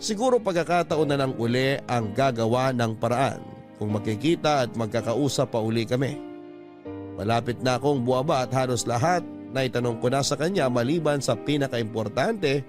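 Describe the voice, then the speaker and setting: A man giving scripted first-person narration as a radio drama host reading out a letter. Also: medium pitch. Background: background music.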